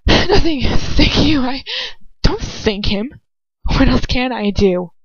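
A woman's voice: high-pitched, animated, slow pace, dry recording, pitched above the performer's own voice, exaggerated voice. A woman reading aloud as a frightened but defiant young woman who screams and gasps, in a dull, dry recording. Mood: breathless. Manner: screaming, pleading. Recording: dull muffled sound.

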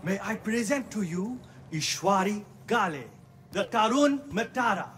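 A man's voice: high voice, animated, a little slow, a bit bright, a little hiss or hum. A man acting a formal, deferential court attendant making a ceremonial announcement in a video game. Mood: ceremonious. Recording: distant voice over game ambience.

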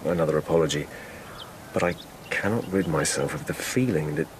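A man's voice: very deep voice, fairly quick, a little hiss or hum. An actor playing an earnest, probing police lieutenant in a radio drama, courteous and direct in his questioning. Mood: apologetic, intent.

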